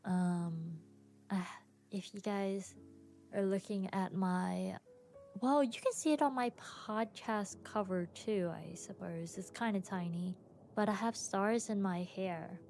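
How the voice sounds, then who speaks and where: Young woman podcaster, tired, slow and gravelly, soft music underneath. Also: medium pitch. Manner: soft, rambling, frequent pauses and little laughs. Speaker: podcast host and streamer. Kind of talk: casual solo podcast chat. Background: soft background music.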